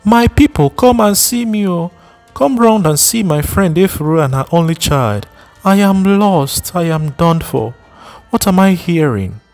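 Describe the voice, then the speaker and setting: A man voicing a frantic woman neighbor who wails loudly in a storybook reading. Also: animated, measured pace, faint music behind. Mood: wailing. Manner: shrill wailing, loud lament.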